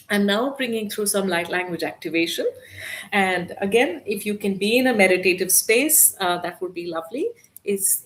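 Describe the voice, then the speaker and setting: A woman hosting a live spiritual channeling stream, speaking softly and gently with many hesitant fillers. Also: medium pitch.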